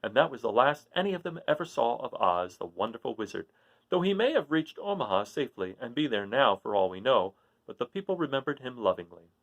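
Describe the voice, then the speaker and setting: A man's voice: medium pitch, sing-song, fairly quick, a bit bright, dull audio. A man hosting a read-aloud series, narrating a storybook in the role of storyteller. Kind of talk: storybook narration with informal asides.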